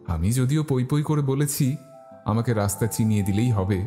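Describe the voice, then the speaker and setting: A man's voice: mid-range voice, moderate pace, warm tone, faint music behind. A man narrating a scripted horror story in the first person.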